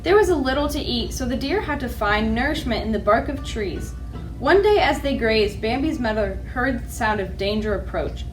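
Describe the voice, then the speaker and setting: A woman reading children's storybooks aloud as a library storyteller, with an expressive delivery. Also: on the high side, moderate pace, faint music behind, low-quality recording.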